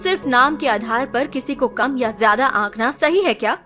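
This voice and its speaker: A self-assured young woman, composed and guarded, with a fairly high voice. Light music runs beneath the drama. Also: lively intonation, moderate pace, fairly bright tone. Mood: challenging. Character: confident, guarded young woman healer with secret purpose. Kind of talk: Hindi audio drama acting.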